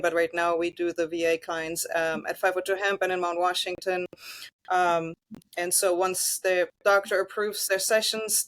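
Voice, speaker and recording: A woman's voice: fairly low pitch, no room sound, poor audio. A woman with a German accent, talking earnestly as a guest on a casual video call, with frequent ums and restarts. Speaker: guest, massage therapist.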